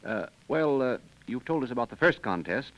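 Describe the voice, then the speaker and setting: A man's voice: mid-range voice, animated, moderate pace. A man hosting and announcing in a formal, declamatory 1930s radio style. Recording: dull vintage radio recording.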